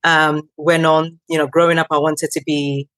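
A woman in her thirties, originally from Ghana and not a native English speaker, a guest telling her story in a lively way with many ums. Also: low voice, normal speed, no room sound. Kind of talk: conversational interview.

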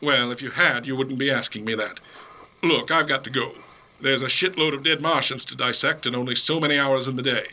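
A man's voice: medium pitch, a little fast, sharp tone, slight background noise, poor audio. A man playing a pompous, impatient scientist professor in a comic 1930s-style radio play, delivered melodramatically and dismissively. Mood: dismissive, smug. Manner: melodramatic narration turning dismissive. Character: pompous, impatient male scientist professor recounting a Martian invasion. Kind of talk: 1930s radio play acting, comedy spoof.

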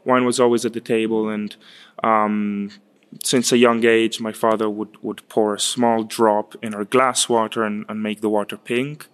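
A man in his twenties, raised in Chile, answering interview questions in a halting way, often repeating words. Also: lowish voice, little pitch movement, smooth. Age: 20s. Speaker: guest, winemaker's son. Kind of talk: casual interview.

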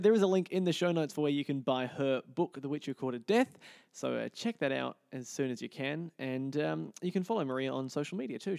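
A man's voice: on the high side, creaky, a little mellow, no echo, studio quality. A man hosting a podcast, chatting with a guest and addressing listeners. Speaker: podcast host. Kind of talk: podcast interview and sign-off.

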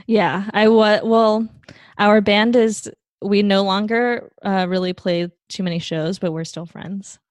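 A young woman from Taiwan, a guest in a casual interview, talking in a relaxed, rambling way with plenty of fillers. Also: medium pitch, unhurried, dry recording. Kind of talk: casual conversation.

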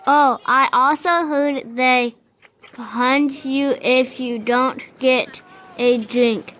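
A chatty schoolgirl played by a woman who loves passing on gossip in amateur radio theater. Her voice is high and her delivery very slow. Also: fairly even intonation, fairly bright tone, slight background noise, exaggerated voice.

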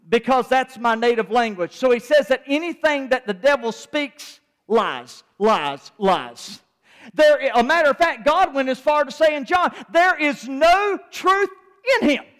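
Male preacher, very high voice, emphatic repetitive cadence, long church-hall reverb. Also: a bit bright. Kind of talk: sermon.

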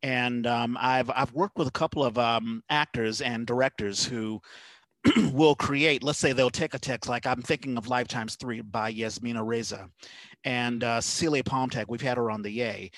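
A man's voice: mid-range voice, steady tone, moderate pace, fairly bright tone, dry recording, low-quality recording. Guy hosting a podcast chat, kinda rambly and hesitant, really dry close sound. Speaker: podcast host. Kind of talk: casual conversational interview.

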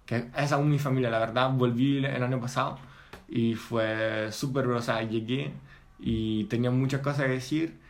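A young Italian man with an Italian accent, chatting casually in a second language, pausing and searching for words as he tells his story. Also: mid-range voice, steady tone. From Italy. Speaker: guest. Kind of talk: casual chat.

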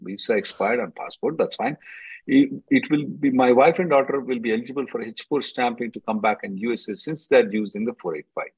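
A man's voice: mid-range voice, brisk, darkish tone, slightly rough, poor audio. A man hosting a call-in as an attorney, reading out questions and answering informally in a blunt, emphatic way, often repeating himself.

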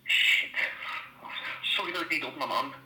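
An actor playing an apologetic, concerned friend leaving an informal voicemail in an audio drama. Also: male voice, fairly high pitch, unhurried, echoey room, heavy background noise, lightly acted. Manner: informal, cursing. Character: apologetic, concerned male friend returning a call.

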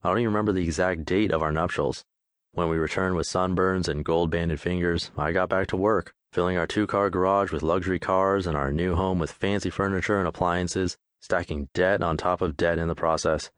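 A man's voice: sits low, fairly even intonation, fairly quick, no room sound, clean studio sound. A man narrating a first-person memoir audiobook in a laid-back, straightforward way.

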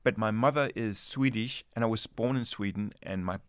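A man's voice: on the low side, normal speed, no echo. A man from Denmark, an anthropologist, answering interview questions in a reflective, hesitant way with many restarts.

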